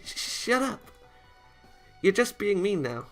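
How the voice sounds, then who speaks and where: A man's voice: high voice, sing-song, slow, a bit bright, faint music behind, voice pushed up, heavily acted. A man voicing a flustered, easily angered young anime girl in a visual novel, stammering and indignant.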